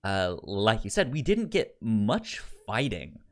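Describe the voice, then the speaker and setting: A man's voice: fairly low pitch, sing-song, takes it slowly. A man co-hosting a podcast in a casual review discussion, stammering and often repeating syllables and words. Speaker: podcast co-host.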